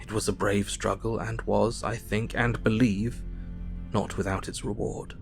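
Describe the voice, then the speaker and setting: Solemn victorian gentleman diarist, lowish voice, measured, gothic audio drama w/ faint music. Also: level tone, vocal fry. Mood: sombre. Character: sombre, compassionate Victorian gentleman recording events. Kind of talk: dramatized diary narration.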